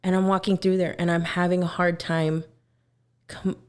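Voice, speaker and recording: A woman in her twenties from Texas, a singer talking as a podcast guest in an earnest way, often pausing with fillers as she searches for words. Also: on the low side, level tone, darkish tone, smooth. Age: 20s. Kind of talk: casual podcast conversation.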